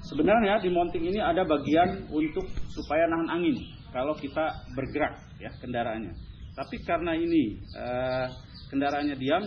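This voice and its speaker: A man with an Indonesian accent hosting a vlog, giving an informal, instructive hands-on explanation to camera. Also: on the high side. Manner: instructive, often tagging sentences with "ya". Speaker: vlog host demonstrating his radio gear. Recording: slightly muffled, clearly noisy.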